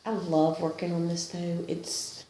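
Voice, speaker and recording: A woman's voice: fairly low pitch, monotone, measured pace, mellow. A woman with a slight cold, chatting casually about her cross-stitch projects, self-deprecating and laughing often. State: sniffly with a cold. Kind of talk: casual show-and-tell chat. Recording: echoey room.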